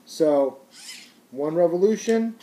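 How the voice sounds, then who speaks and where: Guy doing a laid-back product review, kinda high but soft mellow voice, rambles along plainly. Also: lively intonation, takes it slowly. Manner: informal, rambling, matter-of-fact. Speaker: gear reviewer.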